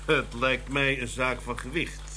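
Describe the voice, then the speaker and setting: A man's voice: mid-range voice, steady tone, unhurried, sharp tone, slight background noise. An actor playing a businesslike male police officer briefing colleagues in a radio drama. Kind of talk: Dutch radio drama dialogue.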